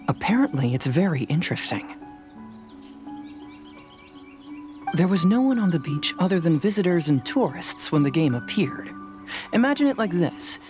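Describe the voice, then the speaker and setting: A woman playing a composed, analytical investigator in a fantasy game, explaining things in a measured, self-assured way. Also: fairly low pitch, big pitch swings, darkish tone, soft background music. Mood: explanatory. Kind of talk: animated game dialogue acting.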